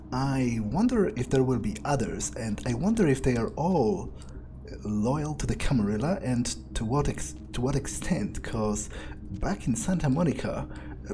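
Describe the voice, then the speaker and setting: A man playing an arrogant, power-hungry vampire in a video game roleplay, musing aloud in a deliberate, improvised monologue. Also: medium pitch, lightly acted. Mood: calculating, pondering. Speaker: gameplay video creator role-playing in character. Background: music.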